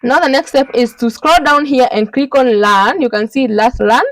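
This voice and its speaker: A woman with a fairly high voice walks listeners through a software tutorial one step at a time. She is instructional and often checks that they are following. The recording is dry, with very little room sound. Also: moderate pace, no echo, rough recording. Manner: instructional, repeatedly checking in with "okay". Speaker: tutorial host.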